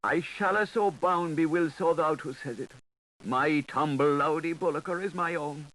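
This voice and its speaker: A man's voice: on the high side, moderate pace, fairly bright tone, voice pushed up, lightly acted, poor audio. A man voicing a meek, fawning talking creature with a thin, whining, pleading delivery in an audiobook. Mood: whimpering. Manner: obsequious, pleading.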